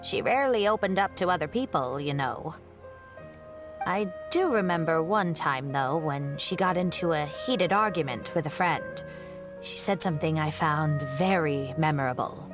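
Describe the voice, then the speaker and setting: An older woman scholar in a video game. Her voice sits very low for a woman. She speaks in measured, reflective phrases with a composed, wry edge, over faint music. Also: expressive. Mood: fond, reflective. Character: elderly woman scholar, former teacher, composed and reminiscing. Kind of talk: scripted video game dialogue.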